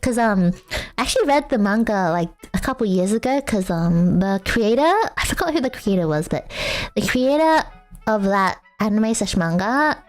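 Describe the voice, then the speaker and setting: A woman's voice: medium pitch, animated, moderate pace, a little mellow, soft background music. A young woman streaming a casual chat with viewers, rambling and self-mocking with lots of fillers and frequent laughter. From Australia.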